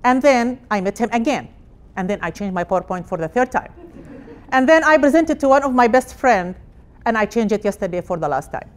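A middle-aged woman from Palestine, a hospital director, giving an energetic personal talk in a conference room with phrasing. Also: a little high, a little fast. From Jerusalem, Palestine. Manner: energetic, gesturing while speaking, non-native phrasing. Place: conference room with projected slides.

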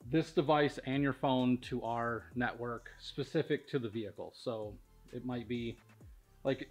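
A middle-aged man giving a casual, matter-of-fact walkthrough in an informal way, recorded indoors in a workshop. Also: medium pitch. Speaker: product demonstrator at a powersports tuning shop. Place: large indoor vehicle workshop.

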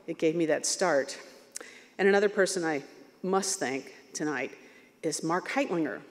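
Halting older woman at a podium. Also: low voice. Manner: halting, humble, many fillers. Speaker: award recipient. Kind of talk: acceptance speech. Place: large banquet hall stage. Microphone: podium microphone. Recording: long hall reverb.

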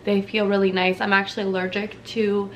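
A woman's voice: medium pitch, flat delivery, brisk, a bit bright, a little hiss or hum. A woman in her twenties from Canada, chatting casually to camera in a bubbly, slangy way in a small dim room. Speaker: beauty vlogger.

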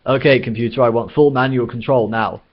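A man's voice: mid-range voice, fairly even intonation, a little fast, muffled recording, pitched above the performer's own voice, close to an everyday voice. A man voicing a brash, manic male spaceship captain who barks orders. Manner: loud, bossy shouting. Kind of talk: audiobook reading.

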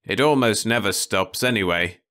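A man's voice: lowish voice, moderate pace, bright, forceful tone, pitched above the performer's own voice. A man voicing a firm, cautioning caller in an audiobook story, speaking matter-of-factly. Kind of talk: audiobook character dialogue.

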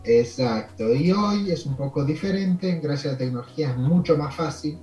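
A man's voice: on the high side, reverberant, dull audio, faint music behind. French-Canadian man with an Argentine accent. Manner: relaxed, rambling storytelling with pauses and fillers. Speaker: immigration consultant. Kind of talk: interview over video call.

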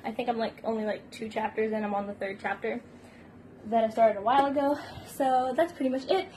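A woman's voice: a little high, fairly even intonation, a little fast, mellow, slight background noise, rough recording. A young woman vlogging casually from her bedroom, talking into a phone held at arm's length, rambling with fillers and giggling. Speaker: book vlogger. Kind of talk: casual vlog chat. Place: small bedroom.

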